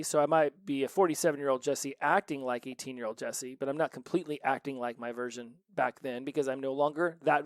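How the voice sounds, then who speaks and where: A man's voice: a little high, no echo. Earnest man in his forties coaching. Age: 40s. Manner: earnest coaching tone with rhetorical questions. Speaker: recovery podcast host. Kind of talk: solo motivational teaching talk.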